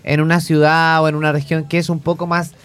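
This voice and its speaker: A Chilean man with a somewhat high voice hosts a casual conversation. He talks freely, circling back and repeating words as he gathers his thoughts. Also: level tone, a little fast, a bit bright, clean tone. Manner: chatty, repeats words while finding them. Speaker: interview host. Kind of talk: casual interview chat.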